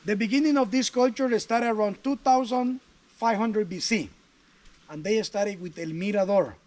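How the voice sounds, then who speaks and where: High-voiced tour guide. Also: male voice, normal speed, a little mellow. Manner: explanatory, chatty with restarts. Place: outdoors at a jungle archaeological site. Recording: faint outdoor noise.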